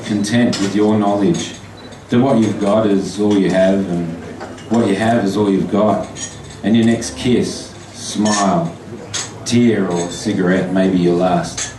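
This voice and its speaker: An Australian man with a low voice reads his poetry and short fiction at a pub event, slow and measured on the page and loose between pieces. The camera sits far off in a roomy, echoing space. Steady background noise runs beneath his voice. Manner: loose and halting between pieces, slow and deliberate when reading. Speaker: poet. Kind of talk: live poetry reading. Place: pub function room.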